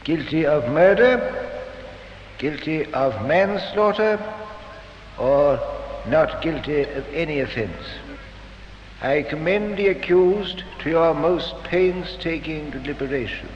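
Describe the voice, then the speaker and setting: An actor playing a dry, deliberate presiding judge with halting, formal delivery in a 1950s radio drama. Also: male voice, a little high, lively intonation. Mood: formal, unhurried. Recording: muffled, noisy, reverberant old radio recording.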